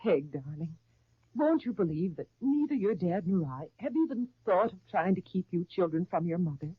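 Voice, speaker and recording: A woman's voice: fairly low pitch, animated, normal speed, slightly rough, dry recording. An actress playing an earnest stepmother pleading with her stepdaughter in a 1930s radio soap. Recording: tinny 1939 radio broadcast recording.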